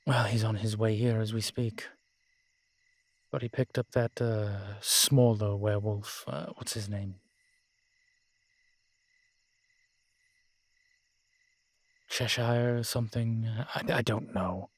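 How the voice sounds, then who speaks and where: Guy doing a old vampire dude, lowish voice, dry close sound, snarky n haughty, audio rp drama. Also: fairly even intonation, a little slow, dry recording. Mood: musing, distracted. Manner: wry, haughty, uses old-fashioned fancy words. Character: ancient, sardonic male vampire, proud and world-weary. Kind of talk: audio roleplay drama, one-sided dialogue.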